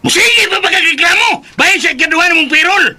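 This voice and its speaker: A man playing a short-tempered boss in a radio comedy drama, scolding and swinging between mutters and yells. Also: very high voice, animated, a little fast, heavily acted. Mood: irritated, exploding.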